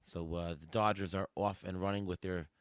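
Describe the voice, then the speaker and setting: A hoarse man hosting a sports podcast, firing off questions and thinking aloud in casual chat. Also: sits low, steady tone, moderate pace, dry recording, clean studio sound. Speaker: podcast host.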